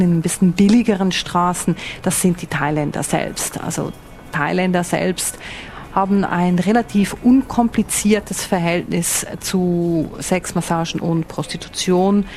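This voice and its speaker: A woman correspondent with a Swiss accent speaks in a fairly low voice. She explains and describes vividly, reworking her phrases as she goes. A little ambient noise sits behind her. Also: a little mellow. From Switzerland. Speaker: radio foreign correspondent. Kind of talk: interview answers, descriptive reporting.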